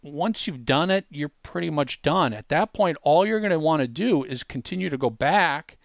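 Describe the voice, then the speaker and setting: A man presenting a software demonstration in a conversational, instructive and emphatic tutorial style. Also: on the high side, animated, normal speed, vocal fry, no echo, clean studio sound. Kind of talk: informal tutorial walkthrough.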